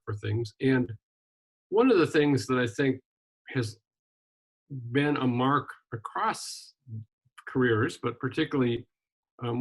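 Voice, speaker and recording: An older man from Wisconsin, a retired minister and journalist, telling his life story in a relaxed interview with frequent ums and restarts. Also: medium pitch, poor audio. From Wisconsin, USA. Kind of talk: casual conversational interview.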